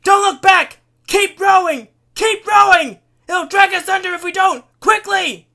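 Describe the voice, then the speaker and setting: A man voicing a frantic young college man shouting urgently in a storybook sea disaster. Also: very high-pitched, measured pace, pitched above the performer's own voice, full character voice. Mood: urgent commanding.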